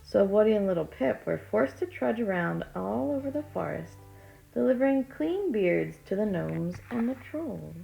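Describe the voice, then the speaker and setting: Gravelly-voiced woman reading a story. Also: medium pitch, moderate pace, faint music behind, low-quality recording. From Texas. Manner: expressive storytelling. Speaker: storybook reader. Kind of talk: children's read-aloud narration.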